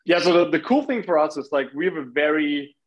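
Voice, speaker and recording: A man's voice: on the high side, lively intonation, a little fast, highs cut off. A man from Austria with an Austrian accent, talking casually as a founder being interviewed on a podcast. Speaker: startup founder, podcast guest.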